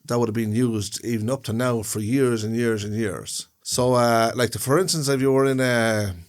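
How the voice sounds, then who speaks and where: Man, podcast co-host, casual chat, rambling, repeats himself, middle pitch, indoor room. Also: steady tone, normal speed. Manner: rambling, repeats and restarts phrases while explaining.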